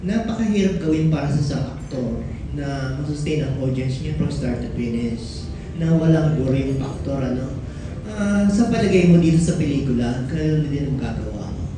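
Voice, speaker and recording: A man's voice: fairly high pitch, lively intonation, moderate pace, far from the mic. A Filipino man asking questions as a reporter at a press conference, hesitantly searching for words. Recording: very echoey room, off-mic, faint noise.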